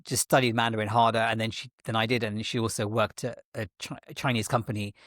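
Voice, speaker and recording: A man's voice: fairly low pitch, little pitch movement, fast talker, fairly bright tone, no room sound, clean studio sound. A man interviewed as a guest, talking in a rambling way with frequent restarts and self-corrections. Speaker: guest, tech executive and parent. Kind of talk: conversational interview.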